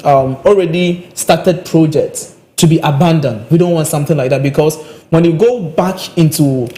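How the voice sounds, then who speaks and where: A man co-hosting a live broadcast, talking in a measured way with frequent hesitations. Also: on the high side, dark tone. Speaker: radio talk show co-host.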